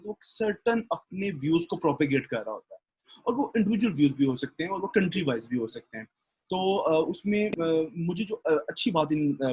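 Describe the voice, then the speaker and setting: A Pakistani man with a slightly high, husky voice talks through film in a casual discussion. He is earnest and wordy, circling back over his points and slipping often into English. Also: poor audio. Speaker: discussion host.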